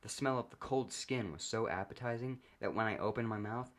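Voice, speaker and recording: Low-voiced man narrating a story, deadpan. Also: fairly quick. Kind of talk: first-person comedic story narration.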